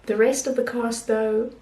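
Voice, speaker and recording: A woman's voice: fairly high pitch, mellow. Hesitant woman reviewing films, roomy sound. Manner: hesitant, many ums and restarts. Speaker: film vlogger. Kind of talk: casual film review talk.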